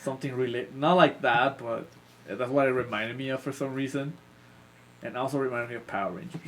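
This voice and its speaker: A man's voice: medium pitch, fairly even intonation, fairly quick, low-quality recording. A man co-hosting a podcast, giving blunt, informal opinions in a casual movie discussion. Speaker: podcast co-host. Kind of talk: casual movie review chat.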